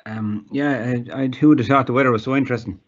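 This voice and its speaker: A man's voice: mid-range voice, steady tone, fast, muffled recording, crowd noise. An Irish man giving a casual, informal demonstration as a guest, with some hesitation. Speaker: guest demonstrating accessible technology.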